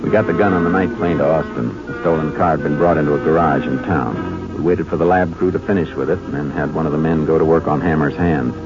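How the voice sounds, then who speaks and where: A muffled old radio recording carries a very low, firm male voice. He is a Texas Ranger investigator, terse and authoritative, delivering radio crime drama lines with matter-of-fact control. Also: fast talker, few pauses, loud music behind the voice. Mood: measured narration. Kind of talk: 1950s radio crime drama acting and narration.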